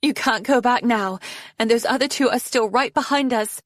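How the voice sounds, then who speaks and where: Casting: woman voicing brave she-dragon for an audiobook fantasy, breathless and urgent, lifted pitch, dry sound. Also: normal speed, no room sound, close to an everyday voice. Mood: urgent reassurance. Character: brave, breathless female dragon urging her companion on.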